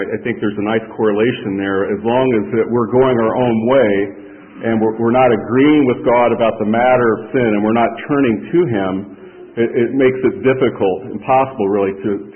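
A middle-aged man from Kansas preaching a conference sermon in a folksy, plain-spoken way, slowing down to read scripture aloud. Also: on the low side. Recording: tinny, far-off hall recording.

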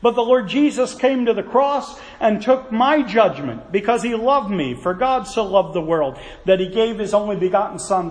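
A man with a notably high voice delivers a sermon, quoting scripture in an earnest tone. His words ring out with a long reverb, as if the mic picks him up in a large hall. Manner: earnest, quotes scripture, asides to kids. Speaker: preacher.

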